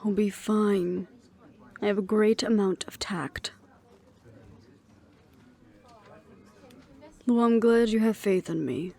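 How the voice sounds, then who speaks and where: A woman playing a composed, dutiful middle-aged leader, speaking in a measured, reflective way in an audio roleplay. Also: medium pitch, vocal fry. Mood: tense, wry. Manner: measured, reflective, quietly firm.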